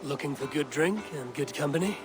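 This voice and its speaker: Guy playing viking warrior in a game, calm n dry, sure of himself, loud music under it. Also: on the high side, a little fast, lightly acted. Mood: flirtatious. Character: confident Viking warrior man, calm and probing. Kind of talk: video game dialogue acting.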